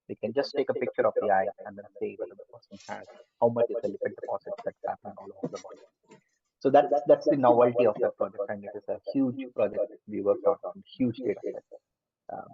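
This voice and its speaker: A man's voice: fairly low pitch, creaky, breathy edge, far from the mic. A man with an Indian accent giving an online class, hesitant with many fillers and restarts. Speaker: lecturer. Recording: phone-like muffled line from afar.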